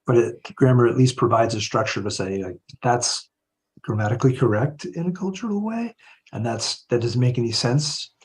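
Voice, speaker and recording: A man's voice: medium pitch, animated, moderate pace, breathy edge. A man reasoning analytically in a live online discussion, hedging his claims as he goes. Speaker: discussion participant. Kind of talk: live podcast group discussion.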